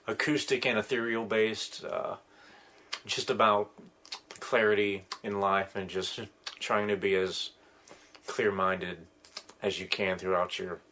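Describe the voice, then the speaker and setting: A man co-hosting a podcast, talking casually about his new album in a slow, halting way with many pauses. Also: lowish voice, a bit bright, slight background noise. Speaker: podcast co-host, musician.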